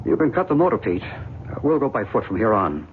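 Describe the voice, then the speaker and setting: An actor playing a calm, knowledgeable guide to ancient mysteries, speaking in a measured, explanatory way in a radio drama. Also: male voice, lowish voice. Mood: quietly guiding. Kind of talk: old-time radio drama acting. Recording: tinny, hissy band-limited radio recording.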